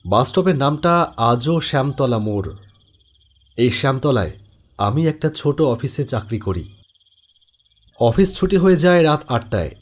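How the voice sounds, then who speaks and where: A man's voice: medium pitch, expressive, measured pace, long pauses. A man narrates a scripted first-person horror story.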